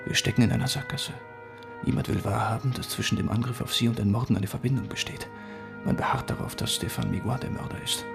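An actor playing a secretive investigator in a radio crime drama, confiding and urgent yet reassuring. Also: male voice, fairly low pitch, steady tone, fast talker, gravelly, over music. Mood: conspiratorial.

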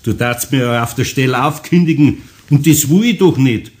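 Actor as kindly older Bavarian master carpenter. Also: male voice, medium pitch, moderate pace, darkish tone, natural voice. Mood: reassuring. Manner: homely, good-natured dialect speech. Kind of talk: radio play acting for children.

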